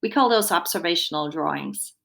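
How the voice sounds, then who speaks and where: A woman's voice: on the low side, lively intonation, fairly quick, vocal fry, a bit bright. A woman reading a children's book aloud expressively, with simple explanatory asides as if to young children.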